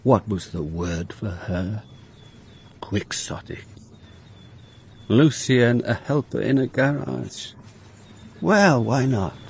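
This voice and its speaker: Dogged male police detective for radio drama: dry, wry, husky, world-weary. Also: mid-range voice, lively intonation, takes it slowly, pauses often, a little mellow, exaggerated voice. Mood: weary, reflective. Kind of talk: British radio drama narration and dialogue.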